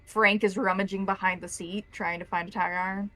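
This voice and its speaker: A woman with a bright, forward voice works as a tabletop game master. She narrates improvised scenes and speaks to her players conversationally. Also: medium pitch, flat delivery, a little fast.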